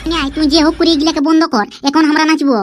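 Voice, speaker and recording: A performer with a sped-up, pitched-up voice playing a bossy, mischievous village cartoon character with a Purulia accent, mocking and scolding in dialect. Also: fairly even intonation, racing, a little hiss or hum. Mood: indignant. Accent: Purulia, West Bengal.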